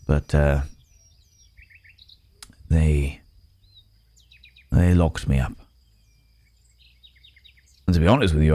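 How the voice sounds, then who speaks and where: Man voicing a very deep, brooding werewolf recluse. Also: dark tone, dry recording. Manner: hesitant, pensive, old-fashioned formal phrasing. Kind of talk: ASMR roleplay monologue.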